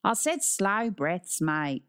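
A woman's voice: lowish voice, sing-song, slow, fairly bright tone, clear, resonant tone, heavily acted. A woman voicing a young Australian male sports teacher in an audiobook, breezy, slangy and matey while giving orders. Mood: matey, upbeat. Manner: breezy, slangy, commanding but friendly.

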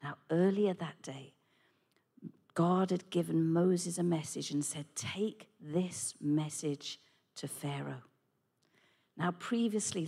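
A woman with a husky voice, telling a Bible story in a dramatic, unhurried way as the regular host of a series. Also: lowish voice, darkish tone. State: husky this morning.

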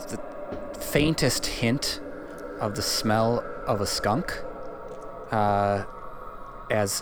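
Male game player, fairly deep, slow and casual, pausing often as he describes his moves. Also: noisy background. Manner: halting, drawn-out pauses mid-sentence. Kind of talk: casual game-table talk. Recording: music playing under the voice.

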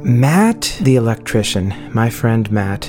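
A man's voice: medium pitch, sing-song, slow. Man with a tired voice over music. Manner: rambling, self-correcting, chatty. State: losing his voice. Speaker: podcast host. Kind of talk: casual spoken intro. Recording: music playing underneath.

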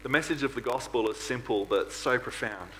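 A man's voice: medium pitch, fairly quick, a bit bright, slight background noise, rough recording. A man preaching a sermon to a congregation in an earnest manner, often putting rhetorical questions to his listeners. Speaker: preacher at a church.

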